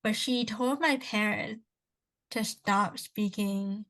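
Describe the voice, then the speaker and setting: A woman's voice: mid-range voice, slow pace, a bit bright. A young woman who grew up speaking Cantonese at home, co-hosting a casual podcast chat, talking in a rambling way with many restarts and asides. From Canada. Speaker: podcast co-host.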